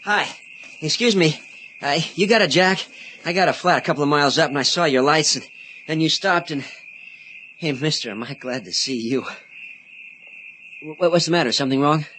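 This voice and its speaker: A man's voice: fairly high pitch, normal speed, pauses often, pushed and bright, slight background noise, low-quality recording. An actor in a radio drama playing an eager, chatty young man, boyish, polite and rambling. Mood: eager, nervous. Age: young adult. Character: eager, chatty young male Senate page.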